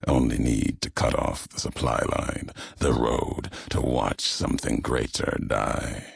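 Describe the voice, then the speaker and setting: An actor voicing a brooding, vengeful wasteland courier in a video game, grave and fatalistic, with a deep gravelly rasp and solemn, clipped, cryptic delivery. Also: male voice, level tone, unhurried, no room sound, clean studio sound, full character voice. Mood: menacing. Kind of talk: video game character dialogue.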